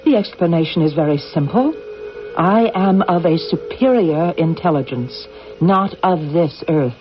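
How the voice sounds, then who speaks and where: A woman playing a calm, imperious alien of superior intelligence in a radio drama, speaking in a measured, probing, commanding way. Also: lowish voice, faint music behind. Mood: assured. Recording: muffled vintage radio recording.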